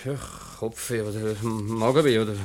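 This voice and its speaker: An actor with a Swiss German accent playing an anxious, stammering husband in a radio comedy. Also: male voice, on the low side, fairly even intonation, moderate pace, lightly acted. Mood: nervous stammering. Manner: stammering, flustered, hesitant. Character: flustered, stammering husband, anxious office employee. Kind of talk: comedic radio play acting.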